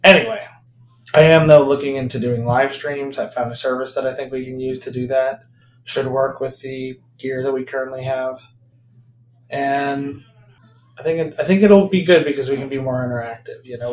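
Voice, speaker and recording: A man's voice: mid-range voice, a little mellow, roomy sound, slight background noise, poor audio. A man co-hosting a podcast in casual chat, rambling with fillers and laughing often. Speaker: podcast co-host.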